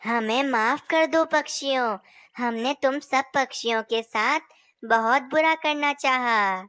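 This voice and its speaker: A woman's voice: high voice, fairly bright tone, clean tone, no room sound, clean studio sound. A woman voicing a scheming male owl brother in a cartoon story who later says sorry. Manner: sly, then apologetic. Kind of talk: Hindi cartoon voice acting.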